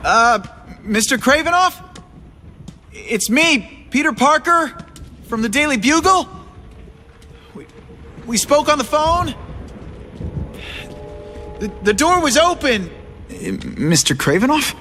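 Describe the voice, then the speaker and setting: An actor playing a wisecracking young superhero, cocky yet awkward, with an American accent, in a video game. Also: male voice, extremely high pitch, slow pace, pauses often, a little hiss or hum, full character voice. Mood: nervous. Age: young adult. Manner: quippy, animated. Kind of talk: video game cutscene acting.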